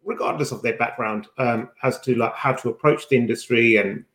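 A man's voice: medium pitch, brisk, fairly bright tone. A man hosting a podcast, putting questions to a guest in a casual, conversational way. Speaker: podcast host. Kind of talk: casual interviewing. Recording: echoey room.

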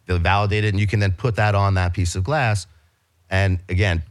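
A man's voice: low voice, steady tone, a little fast. A man with a New York accent, a business guest talking in a casual interview, eagerly explaining his company with many restarts and fillers.

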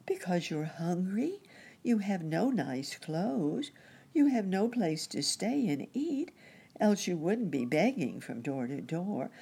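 A fussing muskrat lady nurse from an animal storybook, played by a woman reader. The voice is lifted high and very breathy, with lively swings. The manner is anxious, earnest and full of sympathy. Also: takes it slowly, exaggerated voice. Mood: pitying.

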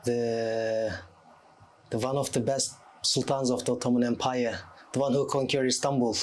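Man in his twenties, Turkish accent, native Turkish speaker, medium pitch, conversational podcast guest. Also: steady tone, normal speed, a little mellow. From Turkey. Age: 20s. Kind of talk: conversational interview answers.

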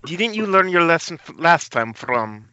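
A man's voice: a little high, sing-song, normal speed, pushed and bright, highs cut off, heavily acted. A man playing a bitter, coldly sardonic injured cameraman with stiff, theatrical phrasing in an amateur table read over an internet call. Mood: wary. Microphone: internet video call.

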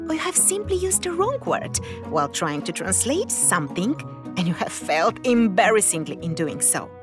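A woman whose first language is Kazakh, giving a scripted podcast narration as a language researcher in a measured, explanatory read with rhetorical questions. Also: mid-range voice.

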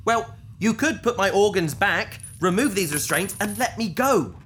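A woman's voice: medium pitch, lively intonation, normal speed, slight background noise, close to an everyday voice. A woman playing an indignant, pleading captive in a science fiction audio drama, speaking in an earnest, protesting way. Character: indignant, pleading female captive victim on operating table.

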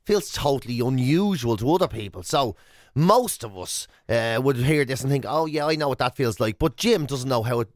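A man's voice: mid-range voice, normal speed, dry recording, clean studio sound. An Irish man co-hosting a radio show in casual comedic chat, with a playful, teasing way of telling stories. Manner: playful, teasing, animated storytelling. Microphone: close studio radio mic.

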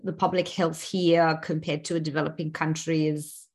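A woman's voice: deep voice, level tone, normal speed, darkish tone. A woman in her forties from South Africa, speaking thoughtfully as an interview guest, with frequent fillers and restarts. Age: 40s. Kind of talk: reflective personal interview.

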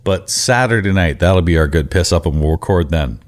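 Teasing low-voiced man in his fifties. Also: animated, brisk. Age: 50s. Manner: joking, teasing. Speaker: podcast co-host. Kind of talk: casual podcast chat.

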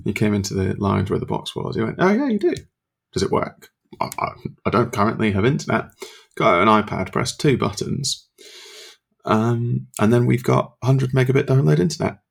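A man co-hosting a podcast from his home study, talking quietly and chuckling often. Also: mid-range voice, expressive, moderate pace, creaky. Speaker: podcast co-host. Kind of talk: casual chat.

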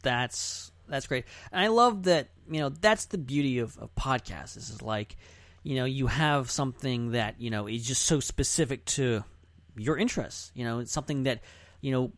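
A man's voice: mid-range voice, moderate pace, a bit bright, dry recording. A man hosting a casual podcast chat, talking in an effusive, affirming way. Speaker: podcast host.

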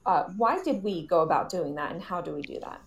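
A woman's voice: fairly low pitch, animated, fairly quick. A woman hosting an informal live podcast panel, explaining things in a welcoming way. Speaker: host and panel moderator.

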